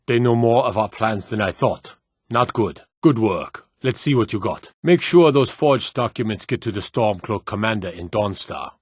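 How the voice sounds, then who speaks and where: Deep, stern fantasy soldier. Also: male voice, animated, moderate pace, breathy edge, no echo, clean studio sound. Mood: businesslike, conspiratorial. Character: stern, battle-hardened male soldier in a fantasy war. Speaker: video game voice actor. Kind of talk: scripted video game voice acting.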